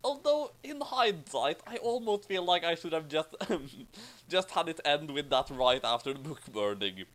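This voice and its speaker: Male, somewhat high pitch, animated intonation, author commentary, casual chat. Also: unhurried.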